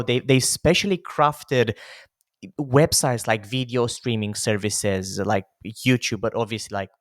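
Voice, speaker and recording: A man's voice: mid-range voice, no echo, clean studio sound. A man in his thirties from Romania, a podcast host talking casually with many fillers. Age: 30s. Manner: chatty, lots of "like" and "you know" fillers. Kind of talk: casual conversation.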